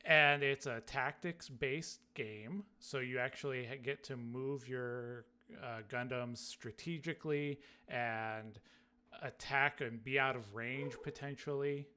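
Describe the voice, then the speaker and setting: A man's voice: medium pitch, steady tone, slow pace, pushed and bright, clear, resonant tone. A man co-hosting a podcast, talking casually and conversationally. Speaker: podcast co-host.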